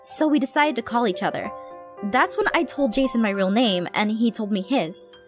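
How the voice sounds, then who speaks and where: A woman's voice: fairly high pitch, lively intonation, normal speed. A woman narrating a personal story. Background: background music.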